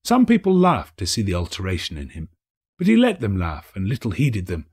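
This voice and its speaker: A man narrating a classic story in a theatrical, expressive and emphatic scripted reading. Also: lowish voice, fairly quick, husky. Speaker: audiobook narrator, actor.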